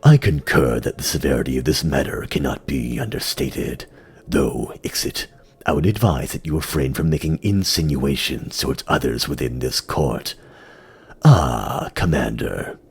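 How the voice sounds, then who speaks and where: A very deep, husky insectoid king from a fantasy audio drama, acted out by a man. He is regal and weary, speaking with grave, formal authority and stern command. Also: lively intonation, moderate pace, full character voice. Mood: grave, measured.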